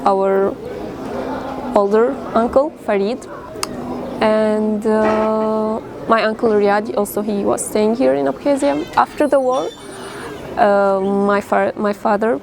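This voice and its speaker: A young woman from Syria, telling her family's story in an interview, halting and full of fillers. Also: medium pitch, fairly even intonation, vocal fry, background noise. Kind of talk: personal storytelling.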